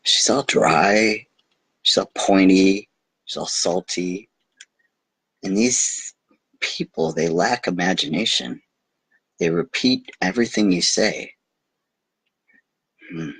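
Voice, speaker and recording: A man's voice: low-pitched, slow, long pauses, fairly bright tone, husky, dry recording, full character voice, low-quality recording. A man voicing a lonely, wondering little prince in a bedtime storybook, plaintive, polite and naive. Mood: puzzled.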